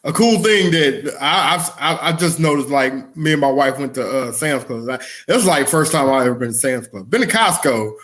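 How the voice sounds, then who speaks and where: A man's voice: medium pitch, expressive, moderate pace, hardly any pauses, fairly bright tone. A man co-hosting a comedy podcast, joking around in casual, slangy banter.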